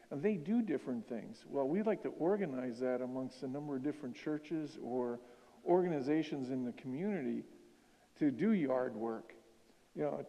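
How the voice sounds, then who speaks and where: A man leads a church seminar in a mellow, soft-toned voice. He talks earnestly and plainly, pausing now and then with an "uh". The long echo of a church hall hangs around his words. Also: mid-range voice. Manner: earnest and plainspoken, with occasional "uh" pauses. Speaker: seminar organizer. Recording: echoey hall.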